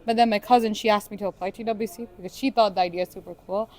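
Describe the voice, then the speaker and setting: A young woman from Bangladesh answers interview questions at an unhurried pace, choosing her words with care. Her voice sits at an ordinary middle pitch. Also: fairly even intonation, a little mellow. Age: young adult. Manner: thoughtful, slow-spoken, with frequent "super". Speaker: student interviewee.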